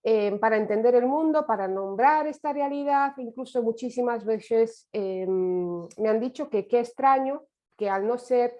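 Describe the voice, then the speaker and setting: A woman whose first language is Romanian gives an online lecture in a reflective manner, pausing and restarting often. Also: fairly high pitch, darkish tone, lo-fi sound. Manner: reflective, with many hesitations and restarts. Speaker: course speaker. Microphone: video call.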